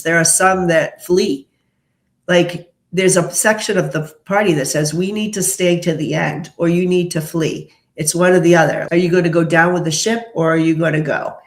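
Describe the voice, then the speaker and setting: A tarot reader speaks at a medium pitch in a roomy, echoing space. The delivery mixes short, declarative pronouncements with chatty explanation, in the style of a solo prediction show. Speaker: tarot reader, host of the channel. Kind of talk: tarot prediction monologue mixing read-out notes and casual commentary. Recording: roomy, echoing indoor sound.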